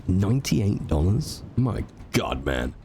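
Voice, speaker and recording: A man's voice: sits low, sing-song, slow, creaky, mellow, a little hiss or hum. A man streaming a roleplay game, chatting to viewers with a stuffy nose from a cold, rambling and swearing freely. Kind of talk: casual chat to viewers while playing.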